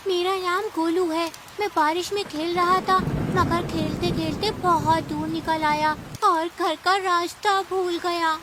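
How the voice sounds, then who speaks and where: Innocent little boy goat for cartoon played by a woman: extremely high, childlike, plaintive. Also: fairly even intonation, unhurried, few pauses. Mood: lost, tearful. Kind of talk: Hindi children's cartoon dubbing. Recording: clear background noise with ambience.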